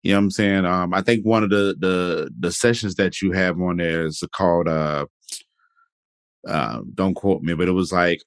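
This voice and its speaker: Deep-voiced male podcast host. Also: steady tone, normal speed, clear, resonant tone, dry recording, clean studio sound. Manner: loose and conversational, often adds "you know what I'm saying". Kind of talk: casual interview chat.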